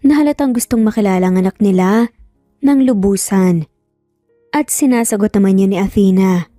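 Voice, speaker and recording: A woman narrates a romance story from a script. Her voice is mellow and sits a bit high. The recording is dry, with music underneath. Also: moderate pace, dry recording.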